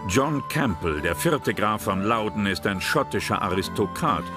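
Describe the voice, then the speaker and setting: A man narrating a history documentary drama in a measured, serious way. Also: on the low side, lively intonation. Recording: narration over loud music.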